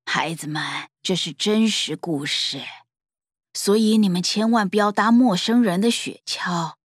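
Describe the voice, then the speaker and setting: A woman voicing a kindly old grandmother in a cartoon, telling tales in a hushed, breathy way. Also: mid-range voice, measured pace, no echo, studio quality. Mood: warning. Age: older. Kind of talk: cartoon dubbing.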